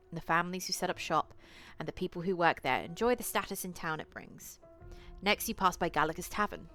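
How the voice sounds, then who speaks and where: Female game streamer, lower register, reading story text aloud with irreverent asides, eerie music underneath. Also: a bit bright. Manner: swears casually, playful asides to chat. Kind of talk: live stream commentary and reading visual novel aloud.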